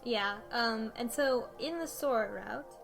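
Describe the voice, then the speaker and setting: A woman's voice: on the high side, measured pace, gravelly, over music. A woman co-hosting a casual chat, recapping a story with lots of ums and restarts. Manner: rambling, many ums and restarts. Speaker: podcast co-host.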